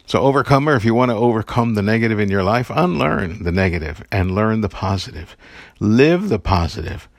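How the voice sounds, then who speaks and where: A man's voice: on the low side, animated, brisk, no room sound, studio quality. A man hosting an inspirational podcast, speaking earnestly and encouragingly and telling personal stories with the odd chuckle. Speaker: podcast host giving daily encouragement. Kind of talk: inspirational devotional talk.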